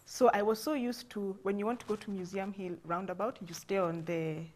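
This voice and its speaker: A Kenyan woman in her thirties, a guest on a talk show, telling relaxed stories. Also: mid-range voice, fairly quick, a little hiss or hum. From Nairobi, Kenya. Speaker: guest, doula. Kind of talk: interview answers.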